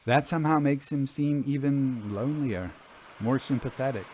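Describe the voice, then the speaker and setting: A man voicing a gentle, earnest lady investigator in a story, speaking politely and formally. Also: mid-range voice, normal speed, slight background noise, lightly acted. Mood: polite, curious. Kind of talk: audio storytelling, acted dialogue.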